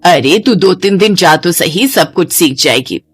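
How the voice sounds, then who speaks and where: A woman's voice: lowish voice, lively intonation, measured pace, breathy edge, dry recording, soft background music. Woman voicing a pushy older village mother. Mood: commanding. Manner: commanding, coaxing.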